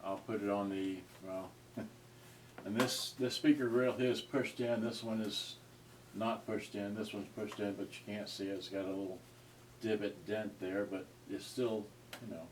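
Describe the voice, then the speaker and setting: A man giving a casual, unscripted gadget review in a halting, rambling way, often trailing off mid-thought. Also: lowish voice, steady tone. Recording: distant mic with clear noise and clicks.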